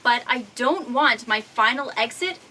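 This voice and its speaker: A woman's voice: fairly high pitch, lively intonation, measured pace, pushed and bright, slight background noise. A woman playing a sly, flippant, food-obsessed computer program in a comedic sci-fi audio drama.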